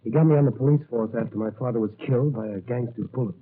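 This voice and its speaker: A man's voice: mid-range voice, racing, breathy edge, lightly acted. A man acting an eager, brash young rookie patrolman hero in a 1940s radio drama. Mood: confident. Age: young adult. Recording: muffled vintage 1940s radio recording.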